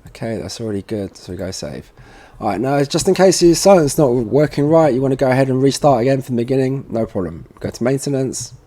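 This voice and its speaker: A man giving an informal software tutorial, thinking aloud as he works at a computer. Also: medium pitch, animated, fast, hardly any pauses. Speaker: tutorial presenter for a security products seller. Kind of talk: casual software walkthrough narration.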